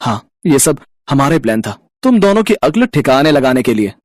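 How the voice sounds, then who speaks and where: A woman's voice: a bass voice, big pitch swings, moderate pace, darkish tone, dry recording, clean studio sound, voice pushed down, heavily acted. A woman voicing an earnest young husband and dutiful son in a cartoon story, firm and lecturing. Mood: smug, firm. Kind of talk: acted cartoon story dialogue.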